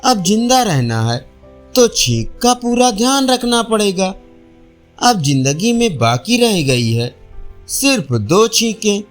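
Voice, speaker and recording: Man acting a fretful, high-voiced old village farmer. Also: very animated, slow, heavily acted. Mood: regretful. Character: stubborn, dim-witted seventy-year-old village farmer, anxious. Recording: faint music behind voice.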